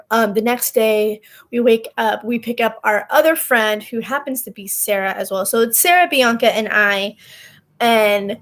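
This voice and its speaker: A young woman in her twenties telling a personal story in casual chat, animated, laughing and going off on tangents. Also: fairly high pitch. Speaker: vlogger.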